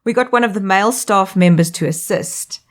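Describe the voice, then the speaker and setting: A South African woman in her thirties, a life coach hosting her own podcast, reading a scripted monologue in an upbeat, expressive way. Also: medium pitch, brisk.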